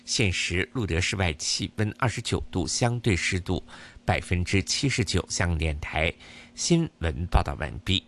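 A man reading a formal radio weather and news bulletin in a measured, even newsreader manner. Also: low voice.

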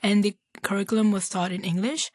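A woman's voice: mid-range voice, fairly even intonation, fairly quick, darkish tone, no room sound, clean studio sound. A young woman from Hong Kong in her twenties, answering interview questions in a casual, thoughtful way. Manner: casual, thoughtful, uses filler like "like". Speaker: guest.

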